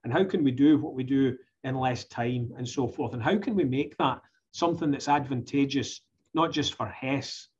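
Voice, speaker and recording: A man's voice: mid-range voice, moderate pace, darkish tone, lo-fi sound, a little hiss or hum. A man, an oil company executive, giving a conversational conference presentation with frequent restarts and fillers.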